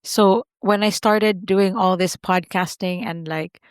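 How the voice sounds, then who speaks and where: A woman's voice: mid-range voice, fairly even intonation, slow, clean tone, no room sound. A woman with a non-native English accent hosting a podcast interview, who talks in long run-on sentences and keeps checking for agreement. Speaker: podcast host. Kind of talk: conversational interview.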